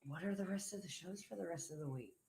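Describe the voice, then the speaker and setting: A woman's voice: fairly low pitch, fast, creaky, dark tone. A woman co-hosts a casual live show. She mostly backs up her partner with short, supportive remarks. Her voice sounds roomy and echoey. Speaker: co-host.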